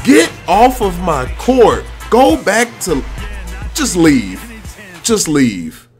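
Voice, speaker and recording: A man giving excited, slangy commentary on his own video game play, full of playful trash talk. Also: high-pitched, very slow, soft background music.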